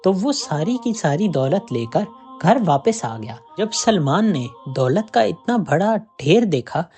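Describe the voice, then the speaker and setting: An expressive storyteller narrates a scripted moral tale with a lively, moving melody. The pitch sits in a middle range, and music plays softly behind the voice. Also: takes it slowly. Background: background music.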